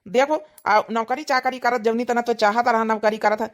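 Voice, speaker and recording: Woman voicing a high-pitched rambling Awadhi village uncle. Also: fairly even intonation, moderate pace, natural voice. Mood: persuasive. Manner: rambling, persuasive. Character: chatty middle-aged village uncle, persuasive matchmaker. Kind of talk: cartoon comedy dialogue.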